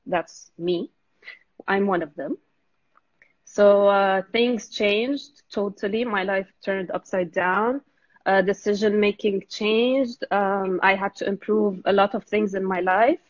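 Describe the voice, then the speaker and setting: A woman's voice: mid-range voice, dry recording, muffled recording. A woman from Lebanon, earnestly telling her story as a guest in a video call interview. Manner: earnest, steady, with fillers.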